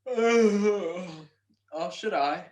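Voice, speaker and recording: A man playing a wry, weary gentleman detective who loves his sleep, in 1940s radio comedy style. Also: sits high, drawn-out words, highs cut off. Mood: hesitant.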